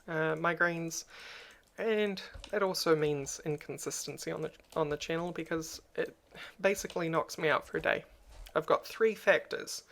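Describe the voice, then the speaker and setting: A speaker with a noticeably crackly voice talks straight to camera in a personal vlog. The delivery is flat and low-key, with little rise and fall. They sound subdued and hesitant, pausing often on ums. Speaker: YouTuber.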